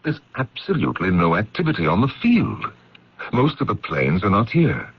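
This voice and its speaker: An actor playing a sober, earnest investigator in an old-time radio drama, measured and serious. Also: male voice, lowish voice, very animated, breathy edge, exaggerated voice. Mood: tense, puzzled. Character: sober male investigator narrating his own case, earnest and considerate. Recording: dull old radio recording.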